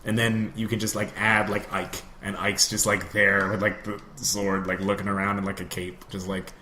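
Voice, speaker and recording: A man's voice: lowish voice, level tone, moderate pace, sharp tone, a little hiss or hum. A man co-hosting a casual video game podcast, talking in a wry, joking, rambling way. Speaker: podcast co-host. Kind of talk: casual chat about video games.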